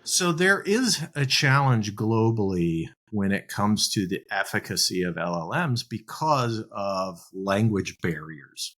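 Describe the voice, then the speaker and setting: A man hosting a podcast interview, talking in a relaxed, musing way and often adding "right?". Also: sits low, slightly rough. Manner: conversational, musing, asks rhetorical "right?". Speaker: podcast host.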